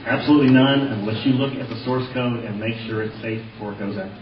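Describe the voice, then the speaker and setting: Distant, echoing audio of a man testifying at a hearing. He talks quickly in a medium-pitched voice and gives blunt, plain answers as a witness. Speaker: witness testifying on voting software. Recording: roomy, distant, dull lo-fi hearing audio, faint noise.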